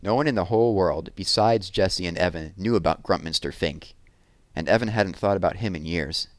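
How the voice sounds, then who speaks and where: Low-voiced man reading a children's book aloud. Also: normal speed, no room sound. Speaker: read-aloud narrator. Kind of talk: expressive children's chapter book read-aloud.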